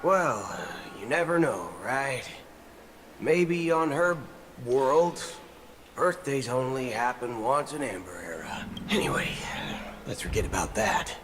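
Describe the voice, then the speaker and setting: A man's voice: medium pitch, expressive, takes it slowly. A man playing a brash, impatient young traveler in a video game, informal and slangy, shifting between chatty and muttering. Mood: chatty, probing. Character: brash young male traveler fishing for clues, casual and impatient. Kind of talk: animated video game voice acting. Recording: loud game music underneath.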